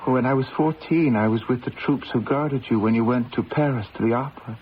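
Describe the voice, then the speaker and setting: An actor playing an earnest, deferential young gendarme, respectful and hesitant, in an old-time radio drama. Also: male voice, medium pitch, brisk, close to an everyday voice. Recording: dull lo-fi radio, faint noise.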